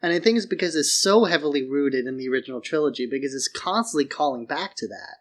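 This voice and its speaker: Higher-voiced man musing casually on films. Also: lively intonation, fast talker. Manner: informal, musing, says "like" often. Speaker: podcast co-host. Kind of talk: casual film discussion.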